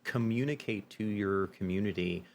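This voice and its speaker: A man's voice: lowish voice, moderate pace, gravelly, slight background noise. A man being interviewed as a game master, explaining his points in an emphatic way and often checking with "right?". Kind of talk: interview conversation.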